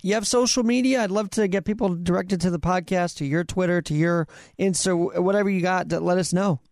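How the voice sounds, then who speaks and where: A man's voice: high voice, fairly quick, darkish tone, dry recording, clean studio sound. A man from New York hosting an interview show, asking questions in a casual, conversational way.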